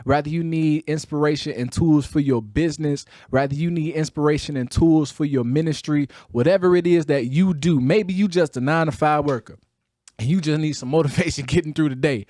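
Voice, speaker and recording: High-voiced Chicago man, emphatic motivational preaching. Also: fairly quick, a little mellow, no room sound, studio quality. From Chicago, United States. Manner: emphatic, repetitive, colloquial, drawing out key words. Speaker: podcast host, motivational speaker. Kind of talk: motivational talk with preacher-like delivery.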